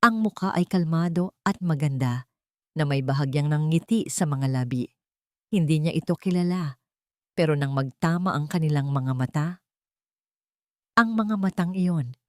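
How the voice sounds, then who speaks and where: A woman's voice: sits low, moderate pace, pauses often, no room sound, clean studio sound. A woman storyteller reading a scripted audiobook in a dramatic narrative style.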